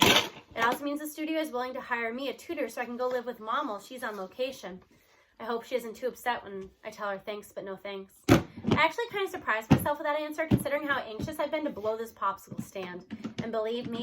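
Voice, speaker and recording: A woman's voice: a little high, heavy background noise, natural voice. A woman voicing an earnest, outspoken eighth-grade schoolgirl in a storybook, candid and conversational.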